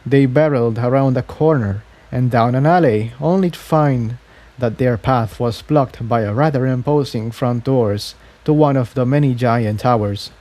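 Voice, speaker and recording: A man's voice: mid-range voice, moderate pace, mellow. A man narrating a scripted story aloud, occasionally stumbling and repeating phrases as he reads. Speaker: fan fiction reader.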